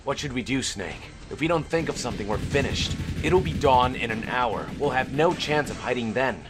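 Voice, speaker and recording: An actor playing a brash, stubborn, excitable young soldier in a military radio drama. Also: male voice, mid-range voice, moderate pace, over music, lightly acted. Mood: tense, frustrated. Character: brash, cursing, stubborn young male soldier hoping for selection.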